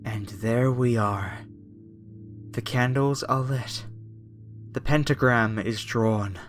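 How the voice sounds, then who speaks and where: A male actor plays a devout cult fanatic in a horror ASMR piece. He is a man of medium pitch who speaks slowly and solemnly, with a menacing tenderness. Faint music sits behind the voice. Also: natural voice. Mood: solemn, tender. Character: soft-spoken male cult zealot, eerily gentle, fervently devout.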